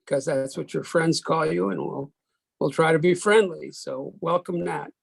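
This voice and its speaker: A man hosting an informal interview, asking questions in a halting, conversational way. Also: a little high, vocal fry, breathy edge, no echo. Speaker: interviewer, host.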